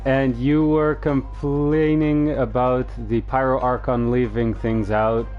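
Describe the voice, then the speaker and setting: A man's voice: medium pitch, little pitch movement, slow pace. A man giving casual live commentary as he streams a story-driven video game. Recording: slightly dull, lo-fi microphone sound. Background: faint game music.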